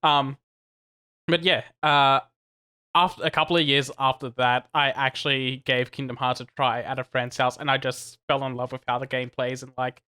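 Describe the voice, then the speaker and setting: Bright, chatty gamer man. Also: mid-range voice, normal speed, clean tone. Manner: animated, chatty, laughs between points, many restarts. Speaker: podcast co-host. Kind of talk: casual podcast chat.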